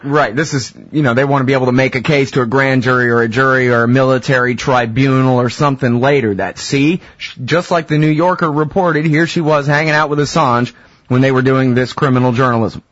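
A man's voice: mid-range voice, steady tone, fairly quick, fairly bright tone, no echo. A man hosting a radio interview show, chatting casually and informally with his guest. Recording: dull lo-fi radio audio.

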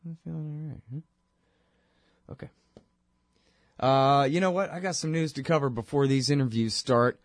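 A man's voice: mid-range voice, moderate pace, pauses often, no echo, clean studio sound. A man with a head cold hosting his own talk show, rambling and sarcastic as he talks through his segment. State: congested from a cold. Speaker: podcast host. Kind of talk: solo talk-show monologue.

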